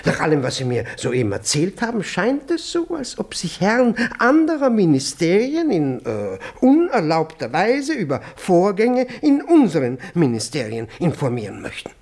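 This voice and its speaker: An actor playing a pompous, fussy older male department chief in a radio play, halting and repeating himself. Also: high voice, wildly swooping pitch, creaky, a little mellow, exaggerated voice. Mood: suspicious, grave. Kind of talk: German radio comedy acting.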